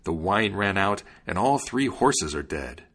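A man playing a gruff, hot-tempered wagoner in a storybook tale, with drawn-out, bellowed words. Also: low voice, big pitch swings, fairly bright tone, exaggerated voice. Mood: lamenting. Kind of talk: storybook character voice.

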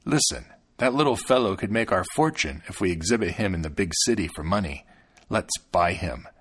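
A man's voice: sits low, lively intonation, brisk, husky. A man voicing a greedy, scheming stranger in a storybook tale, conspiratorial and persuasive. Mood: scheming, coaxing. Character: scheming male stranger, greedy, coaxing opportunist.

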